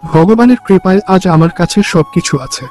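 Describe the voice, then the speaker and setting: A man's voice: high voice, expressive, moderate pace, darkish tone, soft background music. A man voicing an earnest, devoted young orphan brother in a cartoon story. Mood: grateful, reassuring.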